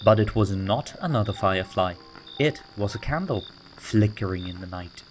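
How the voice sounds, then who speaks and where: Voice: low-pitched, animated, normal speed, rough recording. Children's storybook narrator over music. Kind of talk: scripted read-aloud narration for children. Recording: music bed under the voice.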